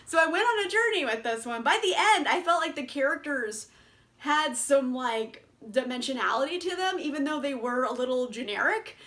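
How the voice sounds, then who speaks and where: A woman's voice: high voice, lively intonation, moderate pace, fairly bright tone. A young woman casually reviewing books in a chatty vlog, laughing often and swearing freely. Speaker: book reviewer.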